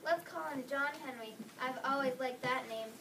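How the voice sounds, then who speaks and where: A woman's voice: sits high, normal speed. A woman reading the part of a proud, folksy mother in a reader's theater script. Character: proud, stern-minded folksy young mother. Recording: distant mic with clear background noise.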